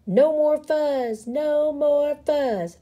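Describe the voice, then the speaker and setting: Storybook role: squabbly little prairie dog from an excitable pack, played by a woman, high, animated, shouty. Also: takes it slowly. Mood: cheering. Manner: shouting and cheering in short bursts. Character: excitable, squabbling crowd of small prairie dogs.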